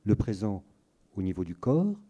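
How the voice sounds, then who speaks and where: A man reading a literary passage aloud, measured and deliberate. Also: fairly low pitch, big pitch swings, warm tone, dull audio. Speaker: reader of excerpts.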